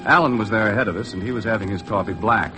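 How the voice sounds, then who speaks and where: An actor playing a laconic boat skipper with a dry, understated American delivery, in 1950s radio drama style. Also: male voice, lowish voice, fast talker, over music, lightly acted. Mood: wistful narration. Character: laconic boat skipper narrating his own adventure. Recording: noisy vintage 1950s radio recording.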